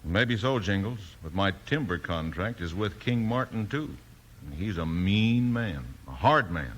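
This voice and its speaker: Worried, low-voiced logging boss. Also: male voice, lively intonation, a little slow. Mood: bitter. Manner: earnest, exasperated, folksy. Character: worried, beleaguered frontier logging camp boss. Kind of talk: 1950s radio western acting. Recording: noisy 1950s radio recording.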